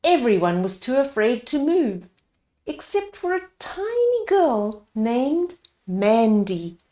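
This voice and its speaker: A woman reading a children's picture book aloud as a storyteller, pausing to explain the pictures to young listeners. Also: on the high side, very animated, mellow. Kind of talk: read-aloud storytime narration with asides to children.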